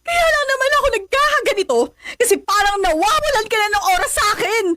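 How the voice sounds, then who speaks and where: A woman's voice: very high-pitched, animated, quick pace, sharp tone, no room sound, clean studio sound, full character voice. An actress with a Filipino accent playing a hurt, clingy young girlfriend in a radio drama. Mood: tearful, upset.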